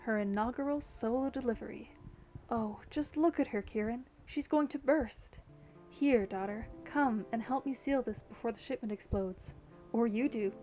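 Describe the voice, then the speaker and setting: A woman's voice: on the high side, moderate pace, dark tone, soft background music, lightly acted, rough recording. A woman playing a fond, proud mother with a wry streak in an audio drama. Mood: proud, teasing.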